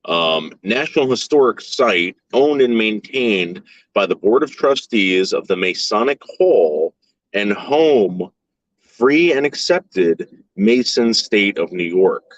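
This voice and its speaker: A man's voice: on the low side, expressive, slow, muffled recording. A man in his forties hosting a casual chat while touring a historic site, joking offhand and trading mock insults.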